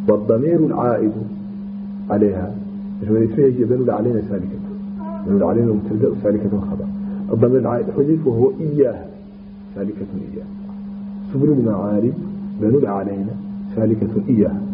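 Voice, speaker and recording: Man, grammar teacher, halting slow explanation, chanted verse, clear background hum. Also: fairly low pitch. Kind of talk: classical Arabic grammar lesson. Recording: tinny phone-like line with background hum.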